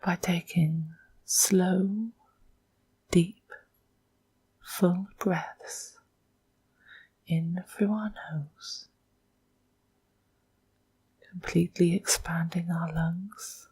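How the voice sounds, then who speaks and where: A woman with a soft, breathy, whispery voice narrates a guided meditation in a hushed, measured manner, leaving long pauses between short phrases. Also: fairly low pitch, steady tone, no room sound, rough recording.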